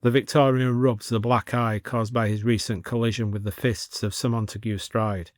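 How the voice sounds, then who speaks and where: A man's voice: fairly low pitch, flat delivery, moderate pace, no echo. Male storyteller with very dry sound. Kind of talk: scripted story narration.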